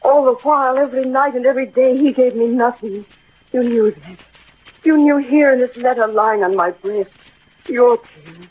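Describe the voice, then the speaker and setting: A woman's voice: a little high, normal speed, lightly acted. Old radio romance: female actor for a sorrowful, devoted convent widow, theatrical and earnest, dull aged sound. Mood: impassioned. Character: devoted, mournful woman in convent retirement. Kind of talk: 1940s-50s radio drama acting. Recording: muffled, noisy old radio recording.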